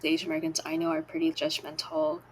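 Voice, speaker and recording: A young woman who grew up in China and New York, speaking in a measured, thoughtful way in a documentary interview. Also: low voice, very animated, vocal fry, background noise. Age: young adult.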